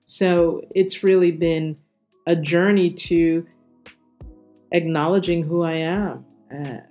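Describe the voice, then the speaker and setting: Middle-aged American woman, interview guest, slightly low voice, measured and articulate, faint music underneath. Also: steady tone, mellow. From New York City, United States. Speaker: interview guest, corporate diversity executive. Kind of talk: reflective personal talk in an interview.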